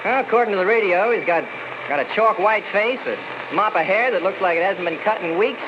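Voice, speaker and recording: On a hissy, muffled old radio recording, a folksy male gas pump attendant from a 1940s mystery. His voice is high and he rattles along quickly in colloquial talk. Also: lively intonation. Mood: chatty, gossipy. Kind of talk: 1940s radio drama acting.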